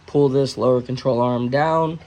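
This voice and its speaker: A man hosting a car channel, hoarse as he loses his voice, explaining a suspension install in a relaxed, chatty way with filler pauses. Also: medium pitch, slight background noise. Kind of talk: casual how-to narration. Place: auto shop garage.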